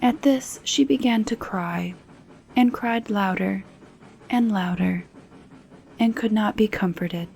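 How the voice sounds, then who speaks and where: A woman softly narrating a fairy tale as ASMR bedtime storytelling, at times nearly whispering. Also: medium pitch, slow pace, vocal fry, long pauses. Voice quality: soft, partly whispered. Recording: noticeable background noise.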